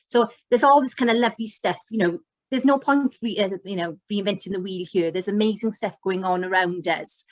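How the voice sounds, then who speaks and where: A woman's voice: mid-range voice, fairly quick, no echo. A middle-aged woman with a Welsh accent talks teachers through slides on a video call, and her voice sits in the middle of the usual range for a woman. She is upbeat and a bit rambling, full of fillers and restarts. The webcam sound is close and dry. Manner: enthusiastic, loose with many fillers and restarts. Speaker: educator and presenter. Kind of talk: online course presentation over slides.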